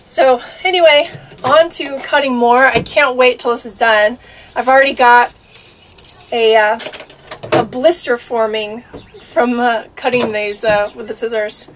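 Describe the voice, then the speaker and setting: A woman's voice: on the high side, a little slow, a little hiss or hum. Young woman, chatty, upbeat, lots of "uh" and "like", small RV interior, distant camera mic. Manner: chatty, excited, many fillers. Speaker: RV DIY vlogger. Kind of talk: casual DIY narration. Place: inside an RV at a dining table. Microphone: camera mic from across the table.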